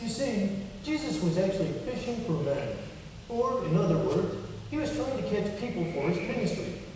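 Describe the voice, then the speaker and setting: Man voicing an earnest mellow high-pitched puppet. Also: sing-song, normal speed. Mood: patient, explaining. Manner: gentle, explanatory, earnest. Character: kindly, patient, earnest male teacher-like puppet. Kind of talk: children's church puppet show dialogue. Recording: echoey hall from far off, faint noise.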